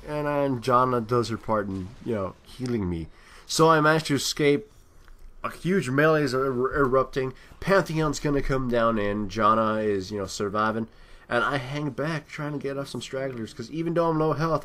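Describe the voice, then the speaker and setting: A man with a medium-pitched voice commentates on a video game in a casual, unscripted way. He rambles as he thinks aloud, letting some phrases trail off before picking up again. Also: moderate pace, a bit bright. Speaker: gameplay commentator.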